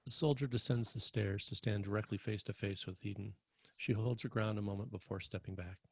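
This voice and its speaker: A man reading screenplay stage directions as scripted narration, with a husky, gravelly voice. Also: fairly low pitch, fairly even intonation, brisk, no echo.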